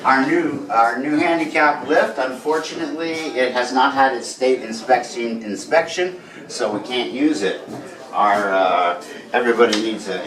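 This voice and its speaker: A man leads an informal walking tour with a halting, welcoming delivery. His medium-pitched voice rings in echoey rooms. A busy crowd chatters behind him. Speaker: tour guide showing a radio society museum. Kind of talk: informal walk-through tour narration. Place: walking through museum building during a public event.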